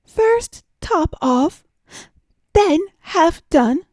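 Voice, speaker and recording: A woman's voice: extremely high pitch, expressive, drawn-out words, a little mellow, no room sound, voice pushed up, full character voice. A woman voicing a timid, trusting little female mouse in a storybook, exclaiming in wide-eyed surprise. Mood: dismayed.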